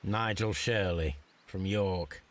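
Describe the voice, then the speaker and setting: An actor playing a shrewd detective in a video game, speaking in a measured, deliberate, precise way. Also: male voice, deep voice. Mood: guarded, bargaining. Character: shrewd detective posing undercover as a lockpick thief. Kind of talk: scripted video game acting.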